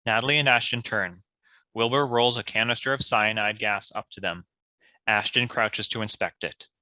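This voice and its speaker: Very bright male voice, fairly low, scripted narration, stage directions. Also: fairly even intonation, normal speed, poor audio. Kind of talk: scripted narration for a table read.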